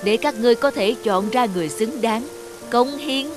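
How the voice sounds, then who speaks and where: A woman's voice: fairly high pitch, normal speed, lightly acted. Woman as dignified old male immortal, cartoon fairy tale, formal, commanding, music and rain in the background. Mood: solemn, announcing. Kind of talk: animated fairy tale voice acting.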